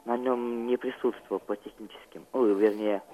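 Teen guy on a radio interview, halting, hunting for words, medium pitch, thin phone-like audio. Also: steady tone. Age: teenager. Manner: halting, hesitant, searching for words. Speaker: student guest on a radio programme. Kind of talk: interview answers, recounting experiences.

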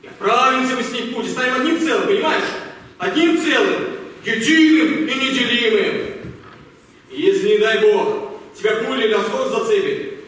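A man performs a stern army captain in a radio drama, sardonic and commanding. The voice is high and bright, heard from far off in a very echoey hall. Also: fairly quick. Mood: grave, intense. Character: stern, mocking army captain drilling women recruits. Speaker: actor in an amateur troupe. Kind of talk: amateur stage-style radio play acting. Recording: echoey hall, distant mic, faint noise.

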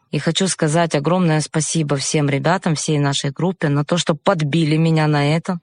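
A woman's voice: low-pitched, dry recording. A woman director giving an informal spoken account of her own work. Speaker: audio play director and sound editor.

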